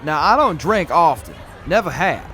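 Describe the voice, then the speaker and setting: Man voicing a rueful major, fairly high voice, measured delivery, faint crowd behind. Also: big pitch swings, sharp tone. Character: weary male militia major, self-reflective. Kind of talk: audio drama acting.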